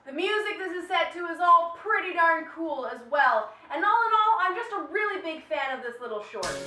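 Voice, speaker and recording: A woman's voice: extremely high pitch, lively intonation, moderate pace, bright, forceful tone, a little hiss or hum. A woman hosting a vlog in an upbeat, chatty, enthusiastic style of review commentary. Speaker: animation vlog host reviewing short films. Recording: echoey room, distant from mic.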